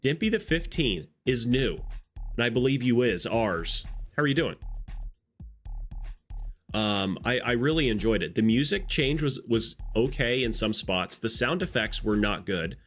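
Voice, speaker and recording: A man's voice: medium pitch, lively intonation, a little slow, fairly bright tone, faint music behind. A congested man hosting a casual live stream, greeting chat viewers by name and chatting in long, rambling asides. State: congested nose.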